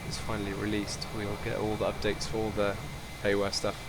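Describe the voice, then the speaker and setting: A man with a cold, his low voice a bit croaky, streams a flight simulator in a relaxed, chuckling way and greets viewers by name. His delivery stays fairly flat, and a loud air-conditioning hum runs under everything. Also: a little fast. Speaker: flight sim livestreamer. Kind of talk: casual live gameplay chat.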